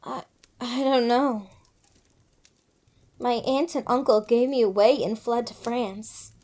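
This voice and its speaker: Woman acting high-voiced, polite young heiress. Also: fairly even intonation, slow, warm tone. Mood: earnest, sombre. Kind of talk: dramatic comic dub acting. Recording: voice over background music.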